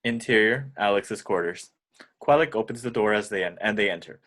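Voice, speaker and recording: Low-voiced man reading screenplay directions. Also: brisk, fairly bright tone. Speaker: stage-direction narrator. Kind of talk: scripted screenplay narration in an amateur table read.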